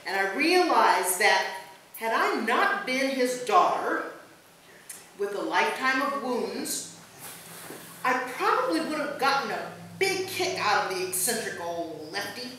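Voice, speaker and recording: A woman's voice: a little high, big pitch swings, unhurried, sharp tone. A woman pastor reading a written memorial tribute aloud at a church service, recorded from a distance. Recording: very echoey church, distant mic, faint noise.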